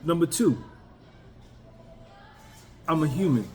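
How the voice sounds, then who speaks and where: A man's voice: fairly high pitch, expressive, slow, warm tone, soft background music. A man in his fifties, a YouTuber, talking candidly and bluntly to his viewers in a casual, emphatic way. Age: 50s. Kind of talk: casual talk to camera.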